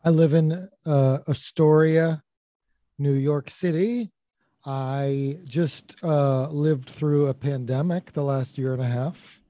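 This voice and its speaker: A man with a relaxing, soothing voice, chatting as a podcast guest with dry, deadpan humor. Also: on the high side, slow pace, dry recording. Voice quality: soft, soothing, calm voice. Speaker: guest comedian. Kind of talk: casual podcast chat.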